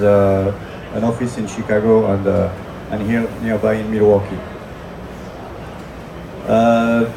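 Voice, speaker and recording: A man with a French accent giving a technical conference presentation, hesitant, full of filler sounds and restarts. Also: fairly low pitch. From France. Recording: echoey room from far off, noisy.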